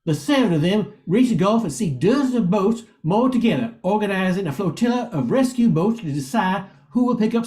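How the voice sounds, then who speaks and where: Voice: medium pitch, dark tone. An older amateur narrator reading a scripted radio play, occasionally stumbling and repeating words.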